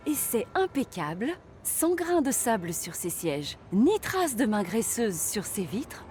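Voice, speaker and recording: A woman narrating a children's cartoon as a lively storyteller. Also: a little high, measured pace, a little hiss or hum. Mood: storytelling.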